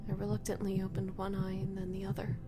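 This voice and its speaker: A woman reading a first-person horror story in a dramatic, emotive, breathy delivery. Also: medium pitch, completely flat delivery, quick pace, over loud music.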